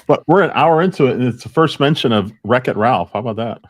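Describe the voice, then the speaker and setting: A man's voice: medium pitch, expressive, quick pace, a little hiss or hum. A man hosting a casual panel chat, prompting the others with questions. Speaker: panel host.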